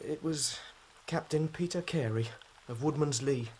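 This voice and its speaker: An actor playing a distraught young man under suspicion in a radio drama, halting, anxious and pleading. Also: mid-range voice, a little mellow, husky. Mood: nervous.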